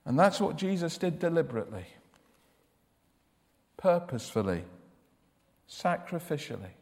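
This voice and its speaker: A man preaching a sermon with a loud voice, emphatic and given to repeating his words as he starts a sentence. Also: a little high, big pitch swings, normal speed, vocal fry, in a large hall.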